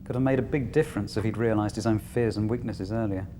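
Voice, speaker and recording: An actor playing a weary male overseer of the village, speaking in a measured, wry and quietly regretful way. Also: lowish voice, background noise, lightly acted. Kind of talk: fan audio drama acting.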